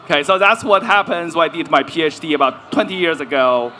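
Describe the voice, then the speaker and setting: Bright-voiced man explaining on a panel. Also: fairly high pitch, brisk, a little hiss or hum. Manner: explanatory, peppers talk with "right?". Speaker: panelist, AI in health researcher. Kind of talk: live panel discussion. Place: stage at a festival panel.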